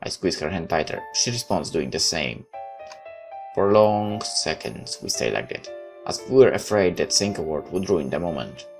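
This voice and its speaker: A man hosting a gaming video, reading a visual novel aloud in a halting, dramatic way with asides. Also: low voice.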